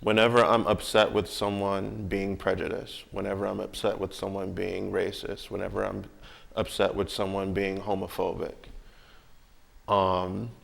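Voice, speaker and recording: A man speaking reflectively and haltingly in conversation, choosing words deliberately as a musician and creator. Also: low voice, gravelly. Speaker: musician and audio drama creator.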